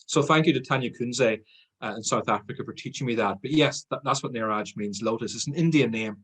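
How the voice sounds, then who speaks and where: An Irish man answering podcast questions in a loose, chatty way, poking fun at himself and laughing easily. His voice is a little husky. He sits at a middle pitch. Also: a little fast, a bit bright. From Ireland. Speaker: guest, sales trainer. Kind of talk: casual podcast interview.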